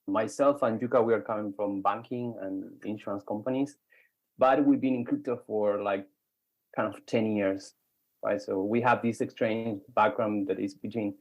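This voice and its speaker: Man from Argentina, mid-pitched, informal founder introduction, muffled lo-fi call audio. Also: a little mellow. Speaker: startup co-founder. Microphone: online call.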